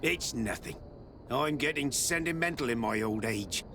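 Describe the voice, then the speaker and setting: An actor playing a gruff, wily old sea captain in a video game, with gravelly speech. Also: male voice, mid-range voice, lively intonation, moderate pace, pushed and bright, slight background noise. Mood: pleased, obliging. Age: older. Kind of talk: video game cutscene acting.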